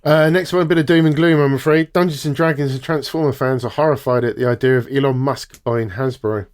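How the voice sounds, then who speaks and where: A man's voice: medium pitch, fairly even intonation, a little fast. A man co-hosting a podcast, informally reading out gaming news items to the others.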